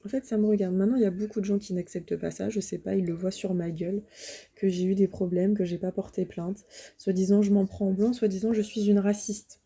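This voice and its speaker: Dark husky-voiced woman in her thirties. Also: mid-range voice, steady tone. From France. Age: 30s. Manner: halting, many hesitations and restarts; upset, defensive. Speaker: vlogger. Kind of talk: personal video appeal to the public.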